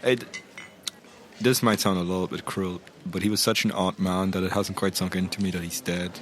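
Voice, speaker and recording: A man's voice: deep voice, steady tone, moderate pace, lightly acted. A man playing a tactful, dry investigator in improvised audio roleplay, hesitant and understated in a conversational way. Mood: dry, frank.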